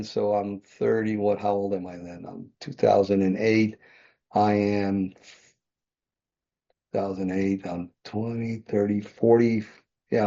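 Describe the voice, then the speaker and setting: An older man in his sixties talks through his past in a loose, meandering way, often stopping to correct himself. His voice is low, dark and a little husky. The podcast audio sounds dull and lo-fi. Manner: rambling, self-correcting, chuckles often. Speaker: podcast guest, real estate developer. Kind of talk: conversational interview storytelling.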